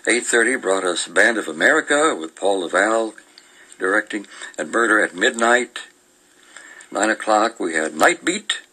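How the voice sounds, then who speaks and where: An older man chats casually as a radio co-host, going through old listings. His voice is fairly low and gravelly, with a strikingly forceful, bright edge. He meanders and halts as he reminisces. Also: lively intonation, rough recording. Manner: meandering, chuckling, halting delivery.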